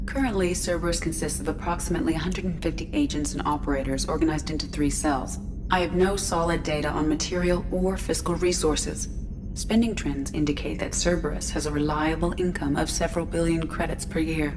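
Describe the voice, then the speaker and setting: A woman's voice: low voice, fairly quick, noisy background, lightly acted. A woman voicing a composed, factual shipboard artificial intelligence in a video game, precise and formal in delivery. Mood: composed, informative. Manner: precise, formal, even-tempered. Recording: music behind the voice.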